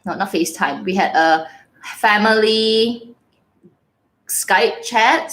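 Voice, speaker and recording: Chatty Malaysian woman reading out comments. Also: medium pitch. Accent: Malaysian English with Singlish. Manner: chatty, laughs often, reads viewer comments aloud. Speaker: livestream host. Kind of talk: casual livestream chat.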